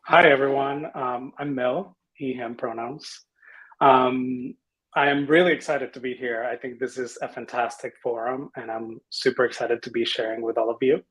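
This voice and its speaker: A man's voice: medium pitch, steady tone, normal speed, fairly bright tone, husky, low-quality recording. A man from the Dominican Republic speaking as a panelist, casually and enthusiastically introducing himself. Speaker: panelist, software engineering manager.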